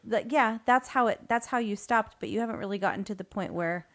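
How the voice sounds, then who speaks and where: A woman's voice: on the high side, a little fast. Blunt woman prodding her co-host. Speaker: podcast co-host. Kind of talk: casual chat.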